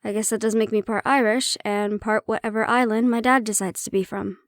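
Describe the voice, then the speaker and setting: A woman's voice: a little high, steady tone, fairly quick, no echo, clean studio sound, natural voice. A woman playing a prickly, guarded banshee-siren hybrid in an intimate audio roleplay, explaining herself conversationally with some teasing. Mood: candid, wry.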